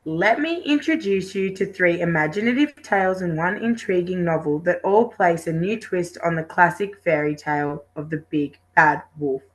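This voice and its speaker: A woman's voice: lowish voice, normal speed, hardly any pauses, low-quality recording. A woman student presenter reading out a rehearsed talk from a script, carefully, with the occasional stumble or repeat.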